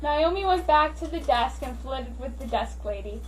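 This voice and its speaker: A woman's voice: high voice, animated, normal speed, recorded from a distance, slight background noise, low-quality recording. A woman giving a dramatic reading of a story as an amateur narrator. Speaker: amateur reader of fan fiction.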